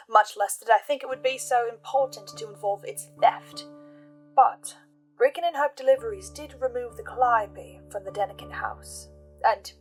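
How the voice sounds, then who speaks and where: High-voiced woman recapping over music. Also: low-quality recording. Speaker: host of a podcast listener's reaction and theory show. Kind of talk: scripted recap and theorizing.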